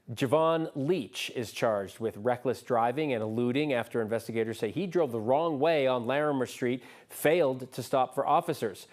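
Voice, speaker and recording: A male news anchor reads the day's stories in a steady, measured way. His voice sits at an ordinary middle pitch. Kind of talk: scripted news reading.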